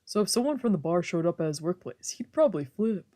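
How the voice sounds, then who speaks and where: A woman's voice: fairly low pitch, very animated, fast talker, warm tone. A woman streamer reading a visual novel aloud in a playful, theatrical way with casual asides. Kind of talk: let's play read-aloud with casual asides.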